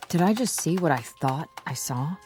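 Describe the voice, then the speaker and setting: A woman's voice: deep voice, animated, normal speed, darkish tone, faint music behind. A woman playing a curious, observant codebreaker's colleague in a radio drama. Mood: surprised, keen.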